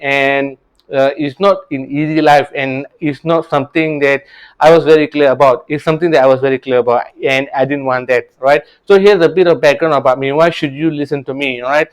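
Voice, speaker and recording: A man's voice: medium pitch, fairly even intonation, a little fast, smooth. A man, a real estate coach telling his story in an earnest, casual way, often restarting words and adding "right?". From Singapore. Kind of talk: casual motivational talk to camera.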